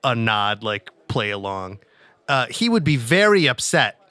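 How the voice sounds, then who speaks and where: A man's voice: mid-range voice, very animated, measured pace, sharp tone, no room sound. A man playing a tabletop role-playing game, breezily narrating and acting out his character in a playful, casual, improvised way. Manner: breezy, playful, acts out dialogue in first person.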